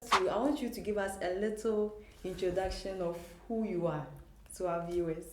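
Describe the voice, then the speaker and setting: A woman from Ghana hosting her own channel, interviewing a guest warmly and with admiration. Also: on the low side, fairly even intonation, moderate pace, heavy background noise. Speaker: host. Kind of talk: casual interview talk. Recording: echoey room with faint music.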